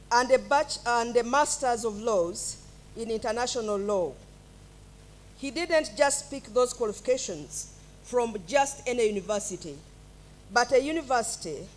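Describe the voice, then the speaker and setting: A woman reading out a guest speaker's biography at a graduation ceremony. She speaks very slowly and formally, her voice a little high. Faint noise sits under the recording. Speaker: master of ceremonies. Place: ceremony hall.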